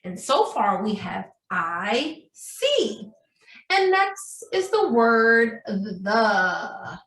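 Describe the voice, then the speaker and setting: A woman's voice: on the high side, a bit bright. A woman leading a children's craft and writing lesson as a preschool teacher, speaking in an encouraging sing-song way and often checking in with questions. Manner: addresses young children, frequent checking questions. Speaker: preschool story time teacher. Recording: roomy echo, distant microphone.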